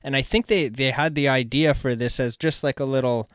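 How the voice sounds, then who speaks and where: A Canadian man with a smooth, clear voice hosts a casual podcast. He rambles excitedly in loose slang, and the sound is close and dry. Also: medium pitch, brisk, no echo. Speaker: podcast host. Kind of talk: casual chat, storytelling.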